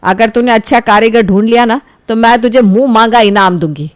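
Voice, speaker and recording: A woman's voice: a little high, fairly quick, dry recording, studio quality, close to an everyday voice. A woman voicing a fussy, demanding but generous mother in a storybook tale, exclaiming and bossy. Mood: pleased, generous. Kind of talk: animated storybook dialogue.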